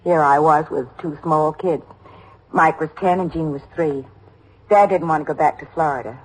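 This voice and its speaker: A middle-aged American housewife, with a husky, slightly rough-edged voice. She is homely and chatty, rambling along and anxious to please, in the manner of an old radio drama. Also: low voice, moderate pace, poor audio. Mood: matter-of-fact reminiscing.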